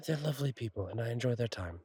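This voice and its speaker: A man playing a kindly, easygoing fallen angel bartender in an intimate audio roleplay, talking in a husky, wry, conversational way with unhurried pauses. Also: medium pitch, lively intonation, darkish tone. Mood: fond, amused.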